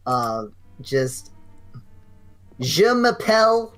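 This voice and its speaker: This is a young man in loose conversation on a game night stream. He wanders through his stories with plenty of restarts, at an ordinary pitch, with faint music behind him. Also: extremely slow. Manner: rambling, animated storytelling with many restarts. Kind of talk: casual chat among friends.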